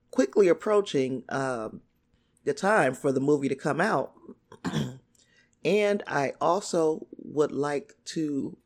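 A man hosting his own podcast solo, hoarse and raspy as he loses his voice, rambling with frequent throat clearing. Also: fairly high pitch. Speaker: podcast host.

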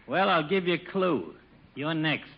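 An actor playing a chummy, pushy small-town barber in an old radio comedy, slangy, breezy and cajoling. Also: male voice, fairly high pitch, lively intonation, a little slow, a little hiss or hum. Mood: joking. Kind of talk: 1940s-50s radio comedy acting. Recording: dull vintage radio broadcast recording.

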